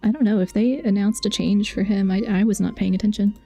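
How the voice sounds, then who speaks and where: Female livestreamer, soft dark tone, casual off-the-cuff chat, faint game music behind. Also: mid-range voice, fairly quick. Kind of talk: casual livestream chat, reading dialogue choices aloud.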